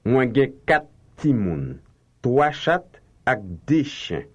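A man reading slow, carefully enunciated translations in a language drill. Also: mid-range voice, sing-song, husky, lo-fi sound. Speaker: language teacher.

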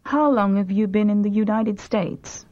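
A woman reading short prompt sentences slowly and clearly for a language drill. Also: medium pitch, mellow, dull audio. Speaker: language lesson reader. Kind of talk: slow repeat-after-me language drill.

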